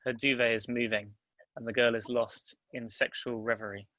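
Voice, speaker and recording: A man reads out script directions as narrator. His voice is mid-pitched, bright and forward, recorded dry with almost no room sound. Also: flat delivery, moderate pace, dry recording, rough recording. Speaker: narrator reading stage directions. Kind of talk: scripted narration at table read.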